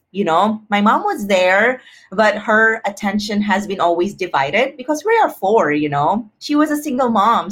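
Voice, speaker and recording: Expressive woman guest, medium pitch. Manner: expressive, acts out quoted thoughts. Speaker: podcast guest. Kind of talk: casual personal conversation.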